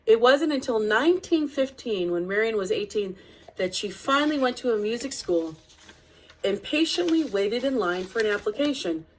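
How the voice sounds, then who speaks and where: A woman reading a picture book aloud as narrator, with deliberate, expressive phrasing. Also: a little high, low-quality recording. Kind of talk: read-aloud storybook narration.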